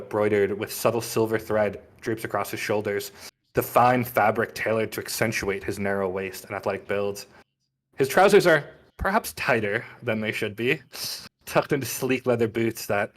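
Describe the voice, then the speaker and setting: A man running a tabletop roleplaying game as game master, narrating scenes with descriptive, dramatic phrasing. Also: on the low side.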